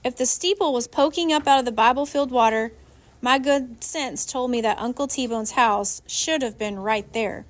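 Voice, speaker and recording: A woman narrating a children's novel aloud in an expressive storytelling style. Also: a little high, moderate pace. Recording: faint background noise.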